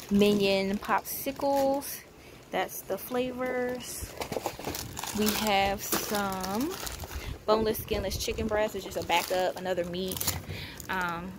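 A woman vlogger narrating a haul casually at home, in a matter-of-fact, halting way as she lists items. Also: medium pitch, noisy background. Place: indoors at home, carpeted room.

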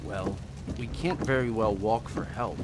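An actor playing a wisecracking, upbeat young husband on honeymoon, in old-time radio drama style. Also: male voice, fairly low pitch, measured pace, noisy background, close to an everyday voice. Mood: upbeat, polite. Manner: jaunty, polite, wry.

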